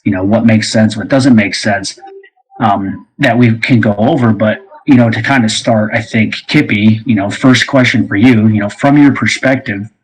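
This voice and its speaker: A man's voice: fairly low pitch, normal speed, breathy edge, low-quality recording. A man speaking casually as a host, rambling along with plenty of you-knows. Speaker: host and game creator. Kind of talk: casual conversational interview.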